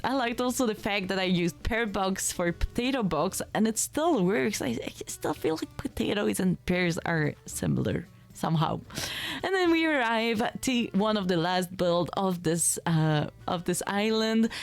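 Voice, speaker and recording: Casual, chatty woman giving a game tour. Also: medium pitch. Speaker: gaming content creator giving a tour.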